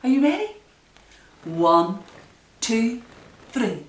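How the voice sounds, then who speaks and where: A woman with a fairly high voice leads a children's rhyme session at a very slow pace. She half sings, half talks, with simple instructions and encouragement for little ones. The sound is echoey and a bit distant from the microphone. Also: sing-song. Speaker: library story time leader. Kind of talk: children's nursery rhyme singalong.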